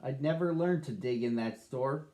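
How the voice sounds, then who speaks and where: A man's voice: mid-range voice, moderate pace, warm tone, natural voice. A man narrating as a sad, lonely young male dog in a children's storybook, reading earnestly. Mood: wistful.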